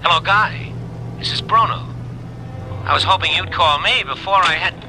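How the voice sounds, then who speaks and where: A man's voice: sits high, moderate pace, gravelly. An actor playing a pushy, unbalanced male stalker with a wheedling, insinuating manner in a 1950s radio drama. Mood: insistent. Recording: old radio broadcast under car noise. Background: car driving noise.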